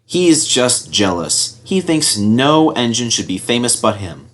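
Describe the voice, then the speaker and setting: A man voicing a kindly, calm male steam engine in a storybook, gentle and reassuring. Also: medium pitch, a little slow. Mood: soothing.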